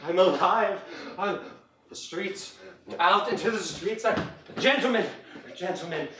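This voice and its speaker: A man's voice: high-pitched, a little slow, a crowd in the background. A man playing an older male miser in a one-man storytelling performance, theatrical, pleading and exclaiming. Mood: jubilant. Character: older male miser, desperate then giddy with joy. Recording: roomy, distant mic, faint ambience.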